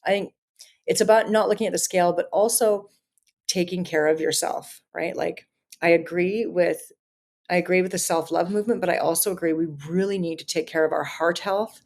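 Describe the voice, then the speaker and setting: Gravelly-voiced earnest woman in her fifties. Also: on the low side, fairly even intonation, fairly quick, darkish tone. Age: 50s. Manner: earnest, talks in long run-on thoughts. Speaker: guest. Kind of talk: podcast interview, casual conversation.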